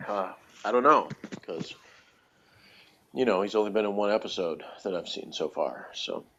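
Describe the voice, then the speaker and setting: A man's voice: lowish voice, expressive, moderate pace, fairly bright tone, a little hiss or hum. A man chatting casually as a podcast reviewer, thinking aloud in a hesitant, hedging way. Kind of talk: casual chat, rambling commentary. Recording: dull lo-fi recording.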